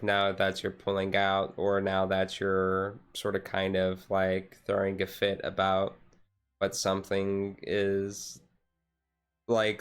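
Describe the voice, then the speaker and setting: Smooth, low-key man rambling on stream. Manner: rambling, hesitant, circles back and repeats phrases. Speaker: vtuber streamer. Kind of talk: casual, chilled-out stream chat.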